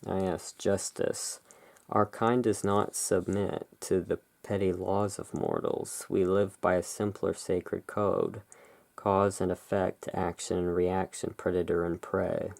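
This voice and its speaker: A low voice with a lot of fry moves very slowly through a gothic ASMR roleplay. The delivery is hushed and slightly theatrical, shifting between reading cards aloud and relaxed commentary. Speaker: ASMR creator, tarot deck reviewer.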